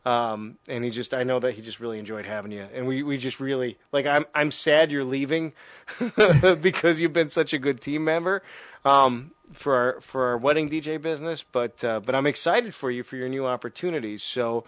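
A man's voice: mid-range voice, moderate pace, fairly bright tone, no room sound. A man in his forties hosting a casual, rambling chat in a gym, talking loosely with many fillers and laughs into a cheap condenser mic. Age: 40s. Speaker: podcast host. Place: gym, indoors. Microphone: cheap condenser mic with pop filter.

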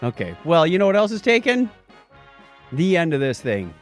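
Animated man hosting a chatty podcast. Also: on the high side, normal speed, faint music behind. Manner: jokey, chatty. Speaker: podcast host. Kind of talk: casual news and birthdays banter.

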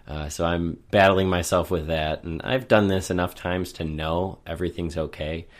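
A man co-hosting a running podcast in casual chat, hoarse and a little off from a lingering sinus illness. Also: deep voice, fairly even intonation, normal speed. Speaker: podcast co-host.

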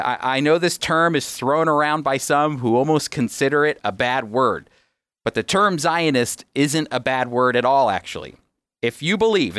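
A man's voice: medium pitch, a bit bright, no echo. A man with an American accent teaching on a radio program in an emphatic, explanatory way. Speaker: radio host and Bible teacher.

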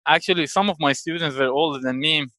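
A man's voice: on the high side, fast, pushed and bright, dry recording. A man in his thirties from Iran, a guest chatting casually in an interview, laughing often and checking in with "correct?". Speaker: guest, company founder.

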